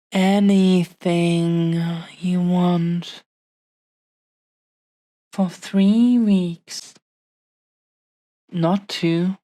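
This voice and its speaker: Very slow, mellow, possessive dragon woman, acted by a woman. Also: fairly low pitch, no room sound. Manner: slow, lingering, soothing, affectionate delivery with long pauses. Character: adoring, possessive dragon-blooded woman, tender fiancée hoarding her treasure. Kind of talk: intimate romantic roleplay audio, ASMR-like.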